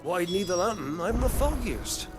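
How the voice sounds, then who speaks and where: A man playing a plain-spoken British townsman in a video game, brisk and colloquial. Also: high-pitched, sing-song, music in the background. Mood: helpful, puzzled.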